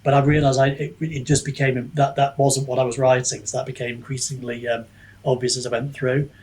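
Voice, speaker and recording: A man's voice: medium pitch, little pitch movement, fairly quick, a little mellow. A man speaking as a podcast guest in casual discussion, reflective and hesitant as he thinks aloud. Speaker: podcast guest, author and book reviewer.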